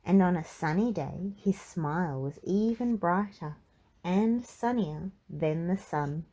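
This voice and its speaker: A woman reading a children's storybook aloud in an expressive, measured narrating style. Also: on the low side. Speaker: children's book reader.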